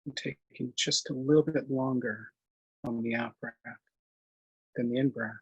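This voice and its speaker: A man leading a guided meditation in a gentle, unhurried manner, leaving long pauses between short phrases. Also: mid-range voice, fairly even intonation, breathy edge, low-quality recording. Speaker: meditation instructor.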